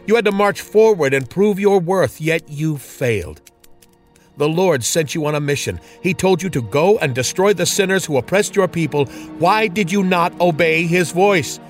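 A man's voice: a little high, expressive, normal speed, faint music behind, pitched above the performer's own voice, lightly acted. A man doing a stern old prophet. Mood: rebuking. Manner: solemn and rebuking, firm pronouncements. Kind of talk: storybook drama reading.

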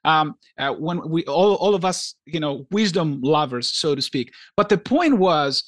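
A man from Greece co-hosting a podcast in casual chat, talking haltingly with many restarts and fillers. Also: a little high, lively intonation, a bit bright, no room sound, studio quality. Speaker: podcast co-host.

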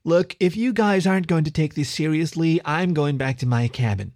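A man's voice: a little high, moderate pace, a little mellow, no room sound, clean studio sound, pitched above the performer's own voice, close to an everyday voice. A man voicing a haughty, commanding preteen ferret girl in a storybook, with a huffy manner. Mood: impatient.

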